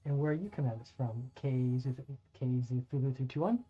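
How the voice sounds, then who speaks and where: A man's voice: mid-range voice, a little fast, dark tone, husky. A middle-aged man chatting casually on a live stream while painting miniatures, rambling and swearing now and then as he talks to viewers. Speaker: streamer and dungeon master. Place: home room by a window. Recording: dull lo-fi audio with faint music.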